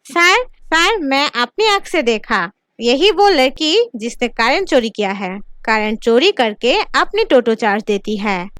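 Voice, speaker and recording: A woman's voice: sits high, very animated, a little slow, fairly bright tone, no echo, clean studio sound, exaggerated voice. A woman voicing a nosy, spiteful village neighbour in a cartoon. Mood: accusing.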